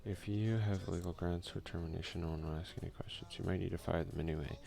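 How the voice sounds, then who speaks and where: A man doing a soft-spoken, unhurried ASMR game playthrough, reading text aloud with casual asides. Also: low voice, fairly even intonation, creaky. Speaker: let's play streamer.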